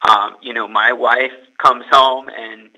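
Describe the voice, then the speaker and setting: A young man from California speaks as a podcast guest. He is hesitant, repeats words and uses many fillers. His voice is very bright. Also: medium pitch, steady tone, slow, rough recording. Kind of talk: casual podcast interview.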